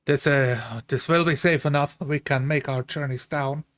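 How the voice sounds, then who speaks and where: A man's voice: medium pitch, normal speed, a bit bright, dry recording. A man playing a tabletop roleplay game, narrating his character's actions in piecemeal phrases.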